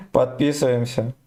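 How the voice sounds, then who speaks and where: A man's voice: on the high side, dark tone. A young man streaming a tank game, joking with chat and swearing freely. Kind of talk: casual game stream chatter.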